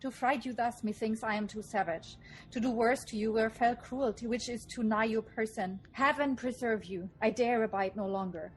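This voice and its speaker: A woman's voice: a little high, normal speed, soft background music, close to an everyday voice, poor audio. A woman playing a humble, urgent messenger giving earnest warnings in Shakespearean radio drama. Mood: urgent, worried.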